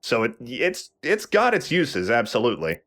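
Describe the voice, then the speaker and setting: A man's voice: mid-range voice, huge pitch swings, moderate pace, a bit bright, a little hiss or hum. A man hosting a let's play, giving casual, joking and sweary commentary while he plays a video game. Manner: joking, profane. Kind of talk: casual gaming commentary with banter.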